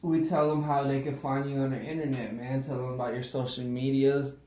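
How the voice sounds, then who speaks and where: A man's voice: mid-range voice, flat delivery, fairly quick, dark tone, slight background noise. A young man hosting an interview show, casual and slangy, often asking "you know what I mean". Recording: roomy sound, mic at a distance.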